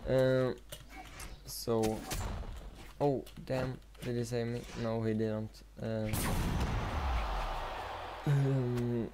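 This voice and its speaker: A teenage man with a Swedish accent, a native Swedish speaker, chatting casually while playing a game, rambling with many fillers and pauses. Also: mid-range voice, little pitch movement. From Sweden. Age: teens. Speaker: gaming YouTuber. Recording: loud noise behind the voice.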